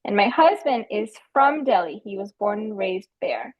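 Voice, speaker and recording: A woman's voice: medium pitch, lively intonation, moderate pace. An American woman around her thirties or forties, telling an anecdote casually as a podcast guest, on slightly dull audio. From United States.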